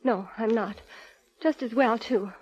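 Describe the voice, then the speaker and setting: Anxious woman, high voice, halting, earnest, old radio drama, dull recording. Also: a little mellow, breathy edge. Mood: anxious, pleading. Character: anxious, hesitant sister seeking help for brother. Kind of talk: 1950s American radio drama acting.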